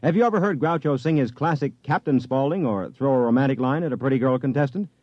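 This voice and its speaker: A man's voice: medium pitch, fast talker, darkish tone, no room sound. A male announcer reading a promo in scripted 1950s radio style. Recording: dull vintage radio recording.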